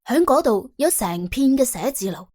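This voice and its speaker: A woman's voice: on the high side, big pitch swings, moderate pace. A woman narrating a comic crime audiobook in a dramatised, colloquial storytelling style. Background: soft background music.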